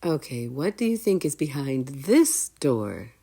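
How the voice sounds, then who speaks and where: Female storytime reader: low register, unhurried, deliberate and expressive children's narration. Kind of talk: children's story read-aloud with questions to listeners.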